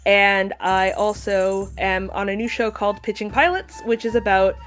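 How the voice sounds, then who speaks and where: A woman's voice: medium pitch, normal speed, fairly bright tone, soft background music. Chatty woman on a podcast, mid-range voice, playful and self-deprecating. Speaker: podcast guest, pop culture commentator. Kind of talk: casual chat.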